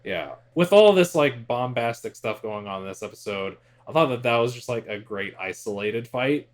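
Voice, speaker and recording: A man's voice: medium pitch, animated, moderate pace. A man co-hosting a casual pop-culture podcast, speaking informally and bluntly in loosely worded opinions with some swearing. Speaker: podcast co-host.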